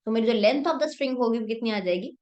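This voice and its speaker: A woman's voice: on the high side, brisk, a little mellow, dull audio. A young woman math teacher with a sore throat, explaining a problem step by step in a mix of Hindi and English and answering her own questions.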